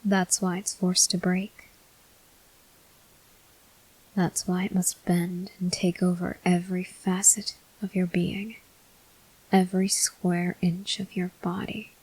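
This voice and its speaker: A woman voicing a brooding, weary male vampire soldier in an ASMR roleplay, in a breathy, husky whisper with slow, grave delivery. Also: lowish voice, little pitch movement, a little mellow, low-quality recording. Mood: bleak, brooding.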